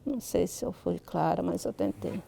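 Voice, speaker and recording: Brazilian woman, low-pitched enough to be middle range, dark creaky tone, halting reflective delivery for a public talk. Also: slight background noise. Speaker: guest author.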